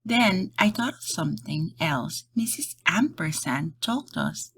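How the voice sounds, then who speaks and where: A woman reading a children's picture book aloud in an expressive, deliberate storytelling style. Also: low voice, breathy edge.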